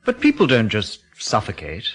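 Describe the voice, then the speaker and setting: Very expressive, genial, uneasy man. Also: fairly low pitch, moderate pace, faint music behind. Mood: concerned. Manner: conversational, expressive storytelling. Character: genial, uneasy painter lodging at a remote farm. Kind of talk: radio drama acting.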